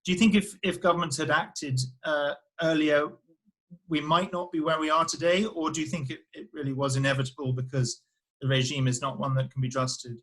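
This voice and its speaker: A man hosting a formal conversational interview, speaking in measured, courteous phrases. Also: a little high, fairly even intonation, fairly bright tone, low-quality recording. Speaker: interview host.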